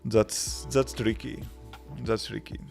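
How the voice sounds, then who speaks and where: Latvian guy on a live stream, low gravelly flat voice, simple english, lots of restarts. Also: slow pace, faint music behind. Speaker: livestreaming developer answering chat. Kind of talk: casual live-stream chat.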